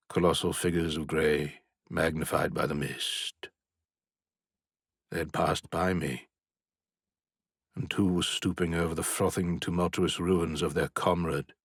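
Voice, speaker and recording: A man's voice: low-pitched, breathy edge, dry recording, clean studio sound. A man narrating a classic novel as an audiobook, intense and dramatic, climbing to urgent peaks in the action scenes.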